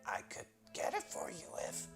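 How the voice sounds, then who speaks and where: A man voicing a timid, lonely frog in a storybook, with a scratchy, breathy croak and a halting, plaintive manner. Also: fairly bright tone, soft background music, natural voice. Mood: timid, earnest. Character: timid, lonely icky male frog, earnestly bargaining. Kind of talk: storybook character voice.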